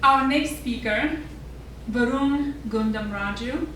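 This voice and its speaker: A woman hosting a student speaking event, introducing speakers in a measured, emphatic and formal way. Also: a little high. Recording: very echoey hall, distant, noisy.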